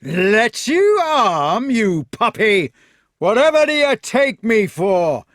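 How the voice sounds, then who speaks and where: A man voicing a fat, bullying villain of a knight in a storybook, shouting with scornful bluster. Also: high-pitched, very animated, slow, no echo, clean studio sound, voice pushed up, full character voice. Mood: scornful, impatient.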